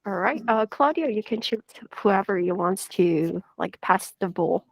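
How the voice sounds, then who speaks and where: A woman's voice: medium pitch, animated, measured pace, creaky, dry recording, rough recording. A woman hosting a casual online group chat from an airport waiting area, chatty and full of fillers. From South Korea. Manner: friendly, chatty, many fillers. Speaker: host.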